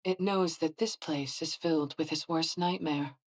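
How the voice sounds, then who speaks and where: A woman playing a tough, composed young fighter in an anime-style game, husky and self-assured. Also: sits low, fairly even intonation, moderate pace. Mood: amused, confident.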